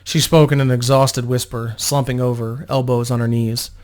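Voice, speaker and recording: A man's voice: mid-range voice, little pitch movement, moderate pace, darkish tone. A man narrating a fantasy game story as a dramatic reading, hosting it himself. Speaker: narrator and host.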